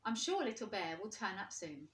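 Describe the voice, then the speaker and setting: A woman's voice: mid-range voice, very animated, normal speed, fairly bright tone. A woman voicing a kindly, patient old male teddy bear who leads the other toys in a children's storybook. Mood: kindly, encouraging. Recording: roomy, echoing indoor sound.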